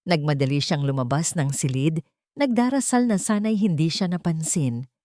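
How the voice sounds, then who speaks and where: A woman's voice: deep voice, lively intonation, normal speed, warm tone, no room sound, studio quality. A woman with a Filipino accent narrating a dramatic story from a script. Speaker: audiobook narrator.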